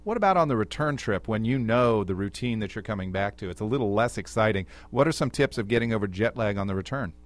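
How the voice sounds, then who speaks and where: A man's voice: fairly low pitch, lively intonation, fast, few pauses. A man hosting a radio talk show, asking direct questions in an upbeat way and introducing his guest.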